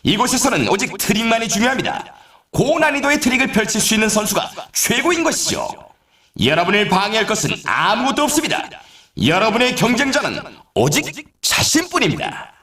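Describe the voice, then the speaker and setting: An actor playing an excitable, theatrical male announcer at an extreme snowboarding event in a video game, delivering scripted hype with showy exclamations. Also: sits high, fairly quick, reverberant, full character voice. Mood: hyped, urging.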